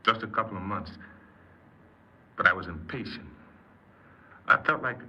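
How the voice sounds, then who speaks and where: A man playing an impatient, self-justifying young thief confessing haltingly in a 1950s radio drama. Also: fairly low pitch, breathy edge. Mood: rueful, explaining. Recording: muffled, very noisy 1950s radio recording.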